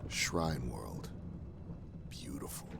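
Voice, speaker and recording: Man acting a very deep, gravelly zealot soldier. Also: expressive, very slow, heavy background noise, exaggerated voice. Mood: probing. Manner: grave, earnest, emphatic. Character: weary, long-lived zealot soldier, grave and persuasive. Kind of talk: audio drama acting.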